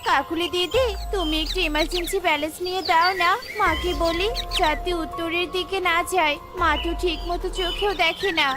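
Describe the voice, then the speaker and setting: A woman's voice: extremely high pitch, fairly even intonation, brisk, few pauses, over loud music. A woman playing an anxious young mother bird in a children's cartoon, pleading and worried. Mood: pleading, eager.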